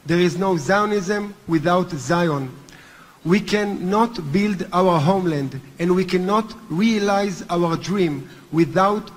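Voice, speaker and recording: A man with a thick Hebrew accent speaks earnestly and deliberately in a fairly high, slightly husky voice. He is addressing a conference as a movement chairman. His words ring out with a long reverb in a large hall. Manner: earnest, deliberate, with occasional restarts. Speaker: movement chairman giving a speech. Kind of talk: formal conference speech.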